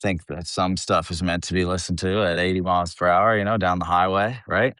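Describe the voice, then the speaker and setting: A man with a low voice talks casually as a guest on a music show. He rambles informally and swears freely. The recording is dry, without room sound. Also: flat delivery, fairly quick, a bit bright, clear, resonant tone, no echo. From United States, Philadelphia area. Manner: rambling, informal, salty-tongued. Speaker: metal band vocalist, interview guest. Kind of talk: casual chat.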